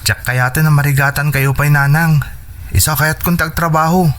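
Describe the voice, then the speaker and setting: A man's voice: fairly high pitch, fairly even intonation, moderate pace, a bit bright, close to an everyday voice. A man playing an earnest, determined young son in a radio drama, speaking respectfully with an Ilocano Filipino accent. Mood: earnest, resolute.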